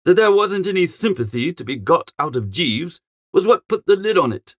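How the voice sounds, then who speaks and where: A man as a high-voiced, flustered young English fop. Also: big pitch swings, moderate pace, no room sound, clean studio sound. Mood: rueful. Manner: exasperated, melodramatic, chatty. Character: flustered, foppish young English gentleman narrator. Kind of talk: comic first-person audiobook narration.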